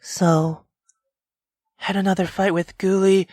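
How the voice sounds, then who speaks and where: A woman's voice: medium pitch, level tone, slow pace, darkish tone, no echo, clean studio sound, natural voice. A woman voicing a sensible, caring young woman in a visual novel, hesitant and mildly reproving. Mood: mild, wry.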